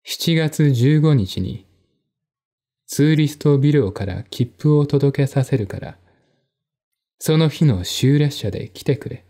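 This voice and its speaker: A man narrates a mystery novel in a literary, storytelling style. His voice is dark and relaxed, of middling pitch. He takes his time, with a measured and deliberate delivery. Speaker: audiobook narrator.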